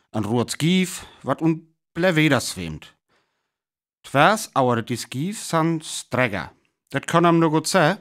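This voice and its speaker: Older male astronomy professor, radio drama: North Frisian accent, lively swooping intonation, self-assured, dismissive, halting delivery. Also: mid-range voice. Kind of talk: radio play acting.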